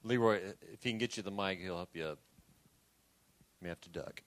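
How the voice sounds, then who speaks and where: Male moderator, brisk pace, lowish pitch, casually steering a panel discussion and audience questions. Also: a bit bright.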